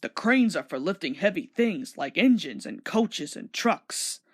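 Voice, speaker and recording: A reassuring, matter-of-fact railwayman in a children's storybook, read by a woman. The talk is plain and explanatory, dipping below the storyteller's pitch, with expressive intonation. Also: a little slow, husky, full character voice.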